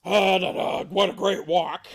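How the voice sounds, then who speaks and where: A woman voicing a gruff, indignant father bear in a children's story, blustering loudly. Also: lowish voice, steady tone, a little slow. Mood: satisfied. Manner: loud blustering, growls and roars.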